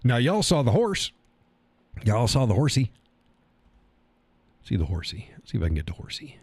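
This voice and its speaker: A man's voice: medium pitch, wildly swooping pitch, normal speed, a little mellow, breathy edge, no echo. A man with a stuffy nose, hosting a casual live stream, rambling in a folksy way and reading chat messages aloud. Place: home workshop room.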